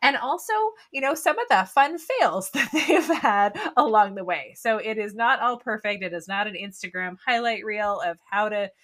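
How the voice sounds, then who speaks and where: A woman's voice: mid-range voice, lively intonation, normal speed, hardly any pauses, fairly bright tone. A woman hosting a podcast, with an upbeat, chatty manner, laughing often. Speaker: podcast host. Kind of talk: casual podcast interview.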